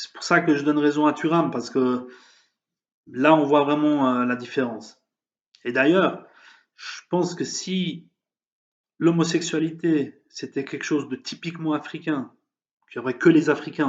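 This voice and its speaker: A man's voice: mid-range voice, highs cut off. A man giving an emphatic, heated opinion monologue, hesitating often with fillers. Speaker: vlogger. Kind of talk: opinion monologue on current events.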